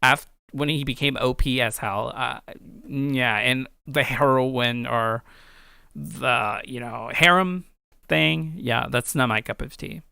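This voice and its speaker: A man's voice: mid-range voice, slow, gravelly, bright, forceful tone, clean tone, no room sound, clean studio sound. A young adult man giving casual, rambling let's play commentary as a video host, offhand and self-deprecating about his own work. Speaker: video host and amateur game creator. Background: background music.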